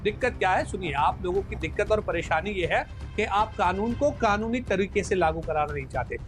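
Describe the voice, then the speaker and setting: A man's voice: high-pitched, animated, a little fast. A man on a televised news debate panel, arguing in an insistent, scolding manner. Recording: TV broadcast with music behind.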